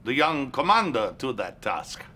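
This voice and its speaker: An actor playing a courteous, authoritative Soviet political leader with a Russian accent in a game cutscene. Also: male voice, mid-range voice, big pitch swings, heavily acted. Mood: approving. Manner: measured, courteous, quietly commanding. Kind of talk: dramatic video game cutscene acting.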